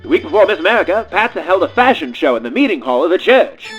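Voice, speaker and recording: A man's voice: sits high, sing-song, fast talker, a bit bright, lo-fi sound. A man playing a showy announcer and narrator in a comedic radio play, with scripted lines and offhand delivery. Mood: storytelling. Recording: music playing behind the voice.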